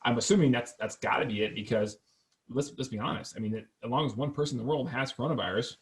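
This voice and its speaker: A man's voice: mid-range voice, fast. Joking man leaning on "right?". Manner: energetic, joking, fact-focused, says "right?" often. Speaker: real estate podcast host. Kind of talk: casual podcast conversation. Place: office. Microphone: podcast microphone.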